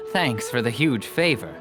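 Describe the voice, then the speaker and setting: A man playing a genial, wily merchant in a video game, with theatrical, persuasive sales patter. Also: medium pitch. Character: genial, wily male merchant, smooth-talking storyteller and salesman.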